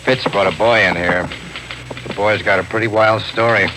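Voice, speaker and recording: A man's voice: on the low side, fairly even intonation, moderate pace, close to an everyday voice. A man playing a terse, practical police detective lieutenant in a 1950s radio drama, speaking in a plain, businesslike way. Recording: noisy old 1950s radio recording.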